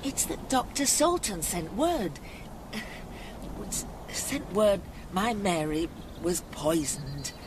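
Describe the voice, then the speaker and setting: An actress playing a poor, timid, deferential village widow in a radio drama, speaking in a faltering, pleading manner. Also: female voice, lowish voice, animated, takes it slowly, noisy background. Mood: anxious, humble. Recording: radio drama under loud music.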